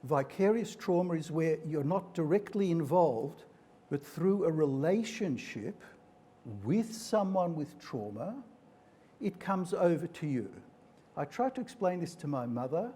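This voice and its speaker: A man's voice: fairly high pitch, lively intonation, unhurried, dark tone, slightly rough. A man whose mother tongue is Hungarian, a clinician giving a live presentation in an explanatory, didactic manner. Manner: explanatory, didactic, speaks in metaphors. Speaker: clinician presenting at a mental health forum.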